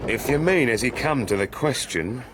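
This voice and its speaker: An actor playing a sly manservant in a period radio drama, wry and deferential, like a knowing gossip. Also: male voice, medium pitch, animated, moderate pace, noisy background, close to an everyday voice.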